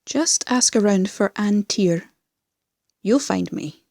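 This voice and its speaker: Actress as female crime boss, unhurried pace, domineering, sardonic, scheming, dry close recording, audio drama. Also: mid-range voice, expressive, vocal fry, darkish tone, dry recording, full character voice. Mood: smug. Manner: slow, commanding, sardonic. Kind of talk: fan audio drama acting.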